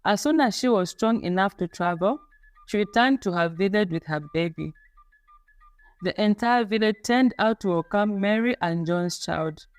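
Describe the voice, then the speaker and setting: A woman reading a scripted folktale as a storyteller, in a measured, dramatic storybook delivery. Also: mid-range voice, clean tone, no room sound. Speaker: storyteller, narrator. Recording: music playing under the voice. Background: background music.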